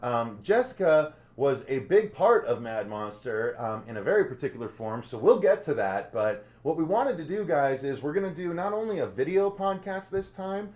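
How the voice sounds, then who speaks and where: Lively, high-pitched male podcast host. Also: normal speed, hardly any pauses, echoey room. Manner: upbeat, joking, interrupts often. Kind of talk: casual podcast chat.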